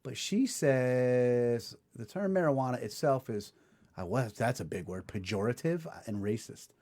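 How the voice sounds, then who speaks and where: A man in his forties hosting a casual comedic podcast chat, telling stories animatedly and doing quick voices. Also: mid-range voice. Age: 40s. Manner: animated storyteller, puts on voices. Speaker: podcast co-host.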